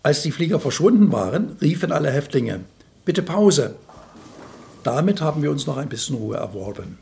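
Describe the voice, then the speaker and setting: Male witness reader, fairly high pitch, strong creak, plain first-person account, faint noise behind. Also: very animated, moderate pace, mellow. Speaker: reader of a prisoner's testimony.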